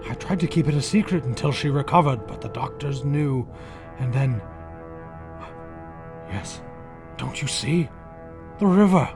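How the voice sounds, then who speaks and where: An actor playing a panicked, guilty husband in 1940s radio horror style, melodramatic, stammering and dropping into breathless whispers. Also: male voice, mid-range voice, expressive, unhurried, long pauses. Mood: nervous, evasive. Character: panicked guilty husband confessing his crime. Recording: old 1940s radio broadcast, loud music behind.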